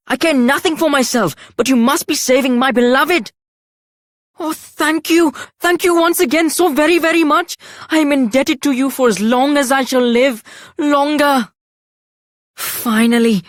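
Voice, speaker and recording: A woman's voice: sits high, measured pace, no echo, studio quality. A woman playing an earnest, devoted young lover in a video game, speaking in formal, effusive phrases. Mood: urgent. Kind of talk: theatrical video game voice acting.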